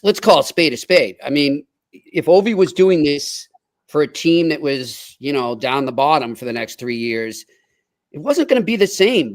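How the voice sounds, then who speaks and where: A man hosting a sports podcast in a casual, colloquial talk-show way. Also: on the high side. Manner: colloquial, informal. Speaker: podcast host.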